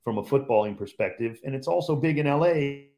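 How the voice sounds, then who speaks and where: A man's voice: mid-range voice, animated, brisk, warm tone. A man who calls games on the radio, a guest on a podcast chat, talking in an emphatic, opinionated way and repeating points for stress.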